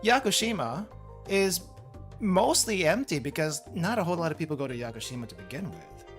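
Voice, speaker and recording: A man's voice: a little high, sing-song, a little fast, fairly bright tone. A man hosting a casual gaming chat stream, easygoing and hesitant, often repeating words as he starts a sentence. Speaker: streamer host. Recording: faint game music behind.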